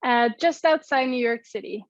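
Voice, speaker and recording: Swedish lady guest on a call, kinda high voice, dry muffled sound, lots of ums. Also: expressive, normal speed, no echo. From Sweden. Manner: thoughtful, lots of ums and likes. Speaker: guest, app co-founder. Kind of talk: interview over a call.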